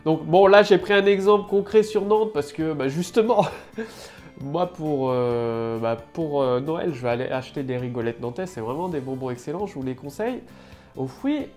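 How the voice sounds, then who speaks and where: A woman's voice: deep voice, lively intonation, moderate pace, a little mellow, soft background music. A woman with a head cold giving a casual marketing tutorial to camera, loose and full of fillers and restarts. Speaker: online marketing coach.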